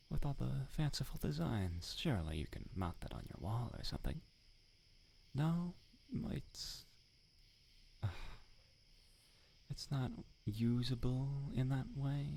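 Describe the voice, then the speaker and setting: A man playing a prickly, secretly smitten swordsmith in a soft, half-whispered ASMR roleplay, halting and teasing as he talks. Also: mid-range voice, a little hiss or hum, heavily acted. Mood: exasperated. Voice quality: breathy, gravelly, often half-whispered. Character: tsundere male swordsmith, prickly yet flustered, secretly smitten.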